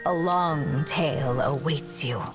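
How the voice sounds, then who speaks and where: A woman voicing a motherly, dying storyteller witch in a video game, soft, solemn and wistful, with measured poetic delivery. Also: low-pitched. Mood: tender, solemn. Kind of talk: video game character acting. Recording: prominent music behind the voice.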